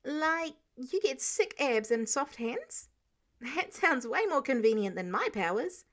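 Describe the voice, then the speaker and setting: A woman's voice: high-pitched, big pitch swings, measured pace, voice pushed up, exaggerated voice. A woman voicing an eager, awkward fifteen-year-old boy superhero who rambles earnestly in a fan fiction audiobook. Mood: excited, rambling. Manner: breathless rambling chatter.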